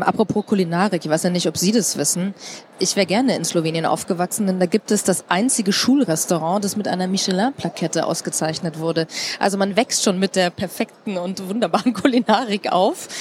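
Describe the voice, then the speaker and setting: A woman's voice: lowish voice, darkish tone, a little hiss or hum. A woman hosting a panel talk, chatty and personal, with friendly asides to her guests. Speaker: host.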